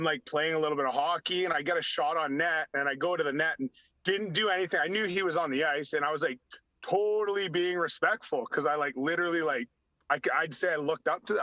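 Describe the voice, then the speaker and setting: Bright, forceful man telling wild stories. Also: a little high, no room sound. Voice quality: slightly raspy. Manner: acts out dialogue, heavy use of "like". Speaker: podcast guest, former hockey enforcer. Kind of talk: animated storytelling. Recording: dull lo-fi sound.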